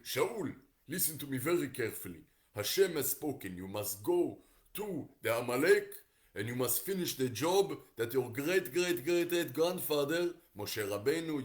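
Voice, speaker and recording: A man voicing a stern old prophet in a told story, deliberate and insistent. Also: mid-range voice, expressive, close to an everyday voice. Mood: solemn, insistent. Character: stern old male prophet giving solemn commands.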